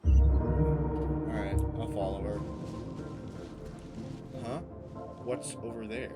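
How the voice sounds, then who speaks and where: A young man reacting to a story game as a streamer, exclaiming in an excitable way. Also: fairly low pitch, very slow. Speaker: gaming streamer. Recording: loud game music behind.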